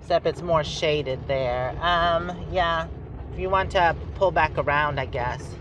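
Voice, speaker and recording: A man with a somewhat high voice hosts a casual travel vlog, chatting and thinking aloud as he drives. The recording comes from inside a vehicle, and road and engine noise is clearly heard behind him. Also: unhurried. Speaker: host.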